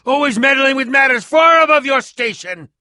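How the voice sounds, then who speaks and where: An actor playing a haughty, theatrical, hot-tempered schemer in a video game, with sneering, melodramatic delivery and grandiose phrasing. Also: male voice, very high voice, animated, moderate pace, bright, forceful tone, no room sound, studio quality, exaggerated voice. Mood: furious outrage. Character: pompous, theatrical, hot-tempered scheming potion-brewing man, bitter rival. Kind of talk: animated video game voice acting.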